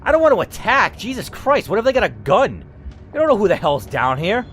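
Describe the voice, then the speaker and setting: A man hosting a dramatic story reading, who reacts out loud and swears as he weighs each choice. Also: high voice, huge pitch swings, a little fast, slight background noise. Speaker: host reading an interactive story.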